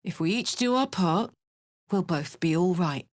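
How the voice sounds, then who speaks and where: A woman's voice: on the low side, animated, measured pace, no echo. Tough fantasy game warrior woman, blunt n earthy but big hearted, takes her time talking, super dry close sound. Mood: friendly. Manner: informal, blunt, earthy, emotive. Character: brash, warm-hearted, battle-hardened adult female fantasy warrior. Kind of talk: video game character voice acting.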